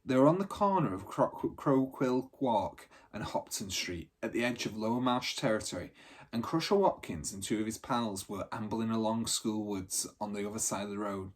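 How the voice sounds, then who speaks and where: A man reading a children's adventure book aloud as a storyteller, in animated narration. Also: fairly low pitch, normal speed.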